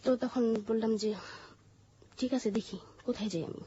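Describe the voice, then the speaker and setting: High, husky Bangladeshi woman telling her story. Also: steady tone, moderate pace, dark tone, dull audio. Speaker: interview guest telling her life story. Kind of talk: personal storytelling in an interview.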